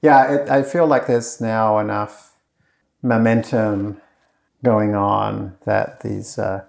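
A man's voice: fairly low pitch, steady tone, takes it slowly, creaky, a little mellow. A man being interviewed in casual conversation, talking in a loose, rambling way with lots of fillers and restarts. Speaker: course creator being interviewed.

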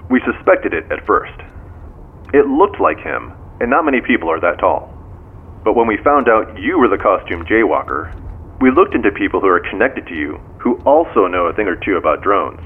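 Low-voiced American detective on the phone. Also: male voice, sing-song, slightly rough. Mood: matter-of-fact. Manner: measured, formal, probing. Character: probing, composed male police detective. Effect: phone call. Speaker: police detective. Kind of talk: modern audio drama dialogue. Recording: tinny phone line with background noise.

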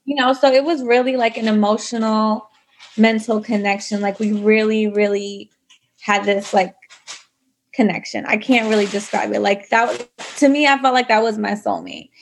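A woman's voice: mid-range voice, normal speed, slight background noise. A woman telling her own story in a casual interview, chatty, full of likes and you knows. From United States. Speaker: guest.

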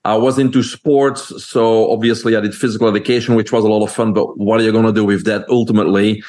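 A man's voice: on the low side, flat delivery, quick pace, darkish tone. A man from Belgium with a Belgian accent, a guest on a podcast interview, talking earnestly and fluently with frequent uh fillers.

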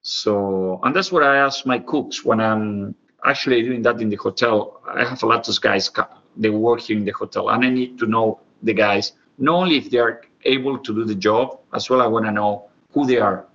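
A man in his forties with a lowish, bright, forceful voice and an Argentine Spanish accent. He tells stories informally and expressively in non-native English, like a chef being interviewed. The audio is slightly dull. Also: normal speed, few pauses. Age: 40s. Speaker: professional chef, interview guest. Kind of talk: conversational interview storytelling.

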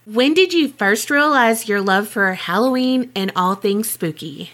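A woman speaks casually as a podcast co-host. She is excitable and jokey, talking in an informal, conversational style. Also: medium pitch, unhurried. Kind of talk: casual chat.

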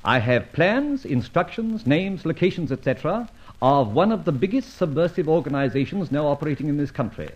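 An actor playing a roguish, self-assured gentleman adventurer in a vintage radio drama, with a breezy, bantering, theatrical manner. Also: fairly high pitch, sing-song, brisk. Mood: insistent bargaining. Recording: dull, muffled old radio recording.

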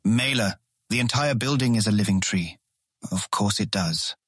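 Proud, lower-voiced guild guide. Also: male voice, a bit bright, breathy edge, no room sound, studio quality. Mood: slightly impatient. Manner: measured, proud, explanatory. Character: proud, patient male guild member showing a newcomer around. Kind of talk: full-cast fantasy audiobook acting.

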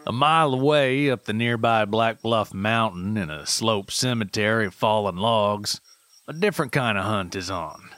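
A man reading a scripted documentary-style story in a deadpan, measured, mock-serious manner. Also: on the low side. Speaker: writer and narrator.

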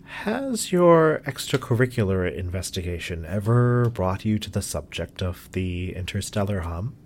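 An actor playing a pompous, eccentric scientist in an audio drama, speaking formally and with precise, theatrical diction. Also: male voice, low voice, sing-song, measured pace, slight background noise, full character voice. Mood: earnest, grandiose. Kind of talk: scripted audio drama acting.

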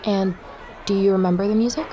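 An actress playing a curious, intent woman journalist who narrates an investigative audio drama in a measured, confiding way. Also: mid-range voice, fairly even intonation, a crowd in the background, lightly acted. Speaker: podcast host and narrator.

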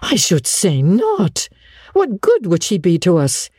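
A woman's voice: on the low side, sing-song, normal speed, dark tone, husky, dry recording, clean studio sound, pitched above the performer's own voice. A woman voicing a stern, exasperated middle-aged farm woman in an audiobook, with curt, emphatic scolding. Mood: indignant. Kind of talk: audiobook character acting.

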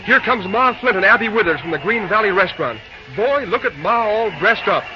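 A brash young railroad man, boyish and informal. His voice is high and swoops up and down. The old radio sound is dull, with music clearly behind. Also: expressive, a little fast. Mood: eager, admiring. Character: eager, brash young railroad assistant superintendent. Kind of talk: old-time radio drama acting.

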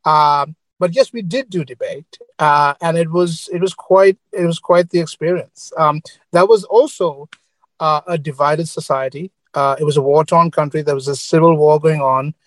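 A man's voice: a little high, moderate pace, no echo, rough recording. A man from Sri Lanka, speaking earnestly as a media executive guest and often checking in with "right?". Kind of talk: earnest panel talk.

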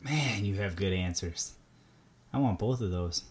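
A man with a Minnesota accent, co-hosting a podcast in a relaxed chat, laughing often and repeating words as he starts sentences. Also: fairly low pitch, measured pace. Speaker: podcast co-host. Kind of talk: casual chat.

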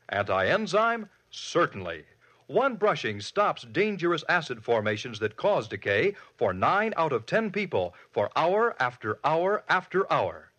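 Male 1950s ad voice, emphatic, slightly husky. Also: fairly bright tone. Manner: emphatic sales pitch. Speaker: commercial announcer. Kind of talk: 1950s radio advertisement.

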